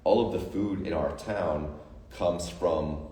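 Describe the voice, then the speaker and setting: A man's voice: low-pitched, slow pace, dark tone, echoey room, recorded from a distance. A man, a coach and retreat organizer, talking through the plan casually and with enthusiasm, with lots of fillers and motivational lines. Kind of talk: casual promotional chat.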